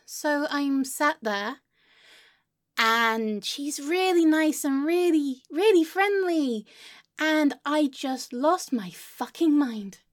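Woman acting very high-voiced, melodramatic, aggrieved woman. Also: slow pace. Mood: wounded, sulky. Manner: histrionic, sweary, swinging between sulky muttering and wailing. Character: melodramatic, irrational, foul-mouthed woman outraged by her haircut. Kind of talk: comedic monologue to an unheard friend.

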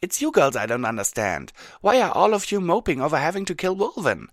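Man as a female unicorn mage, lightly raised pitch, earnest, sensible, articulate, storybook cartoon. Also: sing-song, fairly quick, no echo, clean studio sound. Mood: bewildered.

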